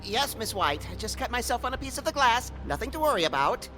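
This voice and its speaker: A woman voicing a deferential office helper in a video game, quick to reassure. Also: on the high side, very animated, fairly bright tone, soft background music. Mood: reassuring. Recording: faint score under the voice.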